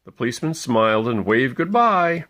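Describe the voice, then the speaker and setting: A man reading a picture book aloud to young children, playful and expressive, pausing to point things out. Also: medium pitch. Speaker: parent reading a bedtime story aloud.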